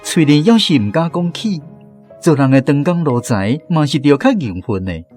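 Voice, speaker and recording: A man's voice: fairly high pitch, expressive, normal speed, a little mellow, faint music behind. A man with a Taiwanese accent narrating a radio drama in a dramatic storytelling style.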